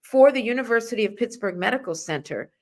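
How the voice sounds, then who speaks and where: A woman's voice: on the high side, animated, fast, darkish tone. Emphatic middle-aged woman hosting an interview. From Russia. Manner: earnest, emphatic, addresses listeners directly. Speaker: host of a nursing and wellness talk show. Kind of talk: conversational interview, personal anecdotes.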